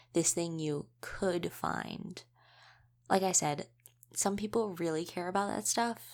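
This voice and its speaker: A young woman playing a friendly, chatty werewolf college student in a casual audio roleplay, rambling through explanations straight to the listener. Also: fairly low pitch. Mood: earnest, reassuring.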